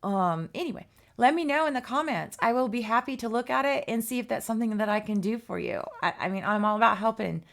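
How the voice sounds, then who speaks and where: A woman giving a casual art tutorial, chatty and rambling with many ums and restarts, her voice hoarse and worn. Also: fairly high pitch, expressive, quick pace. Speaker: art teacher.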